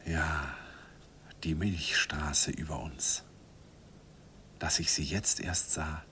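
An actor narrating as a man recalling his young love, in a hushed, reflective voice, in an old radio recording. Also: a bass voice, fairly even intonation, slow pace, heavily acted. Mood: wistful. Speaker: narrator and young German student Andi. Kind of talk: 1950s German radio drama narration. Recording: faint hiss of an old 1951 radio recording.

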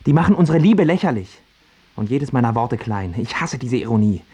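An actor playing an anxious, self-doubting failed singer in a radio drama, brooding and needy in manner. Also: male voice, mid-range voice, expressive, brisk, warm tone, slightly rough. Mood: anxious, fretful. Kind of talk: stage-like radio drama acting.

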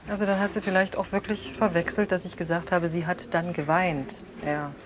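A woman's voice: on the low side, fairly even intonation, a little fast, noisy background, natural voice. An actress playing a defensive witness in a naturalistic audio drama, curt, insistent and matter-of-fact as she corrects her questioner.

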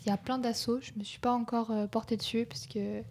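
Young student woman host, higher pitch, friendly chatty delivery. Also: flat delivery, brisk, a little mellow, slight background noise. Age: young adult. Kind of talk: casual radio chat.

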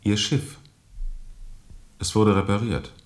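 Radio play supporting role: terse interrogator, low pitch, formal, probing and insistent. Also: male voice, fairly even intonation, takes it slowly. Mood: matter-of-fact. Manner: curt, formal, insistent questioning. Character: terse, probing male interrogator drawing out a captain's account.

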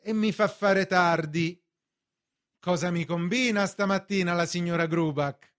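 An actor playing an indignant, bewildered bank clerk of thirty in a theatrical radio drama, protesting and questioning. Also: male voice, high-pitched, a little slow, fairly bright tone. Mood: annoyed.

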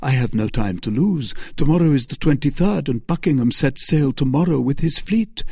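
A man's voice: mid-range voice, lively intonation, moderate pace, mellow, husky, dry recording, clean studio sound, pitched above the performer's own voice. A man voicing a grave, intense young officer, devoted and resolute, in a storybook reading. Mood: urgent. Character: earnest, gloomy young male fanatic officer, devoted and resolute.

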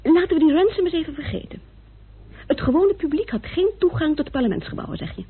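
An actress playing a sharp, insistent woman reasoning out a murder case in a vintage Dutch radio play, with a muffled recording. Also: high voice, expressive, fast talker. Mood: insistent, brisk.

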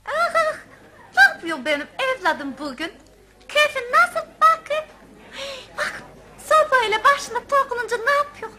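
A woman's voice: very high-pitched, expressive, moderate pace, heavy background noise. A woman playing a showman's doting, boastful wife in a radio play, coaxing and gushing.

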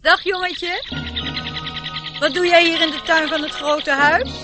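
An actress playing a friendly, curious, gently chiding young girl in a radio play. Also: very high voice, steady tone, unhurried, over music.

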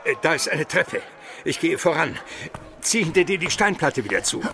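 A man's voice: a little high, very animated, moderate pace, very noisy. A man playing an educated, pious Byzantine minister on the run, solemn and formal, in a German radio play. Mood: tense, practical.

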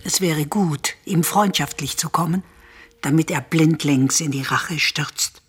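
An actress playing a solemn chorus woman in a classical radio tragedy, portentous and at times hushed. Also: deep voice, measured pace, slightly rough, exaggerated voice. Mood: urgent. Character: solemn chorus woman watching and foretelling bloody revenge.

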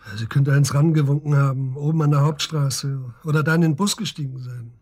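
Guy playing middle-aged cop in a radio play, husky slightly higher voice, nosy and wry, keeps prodding. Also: brisk, darkish tone, natural voice. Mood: probing. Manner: inquisitive, prodding, familiar. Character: probing, wry male police officer, the surgeon's old friend. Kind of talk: naturalistic German radio drama dialogue.

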